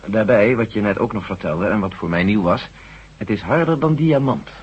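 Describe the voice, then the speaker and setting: An actor playing a determined army general in a radio drama, assertive and reasonable, probing with questions. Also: male voice, on the low side, expressive, normal speed, breathy edge. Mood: speculating. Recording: dull lo-fi old radio recording.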